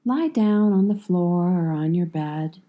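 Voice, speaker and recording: A woman leading a guided meditation as a yoga nidra instructor, giving calm, soothing instructions in measured phrases with long pauses. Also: on the low side, muffled recording.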